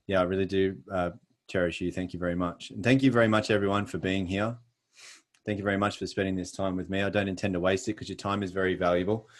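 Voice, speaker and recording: Earnest Australian man presenting. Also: sits low. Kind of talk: sales presentation. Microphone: video call.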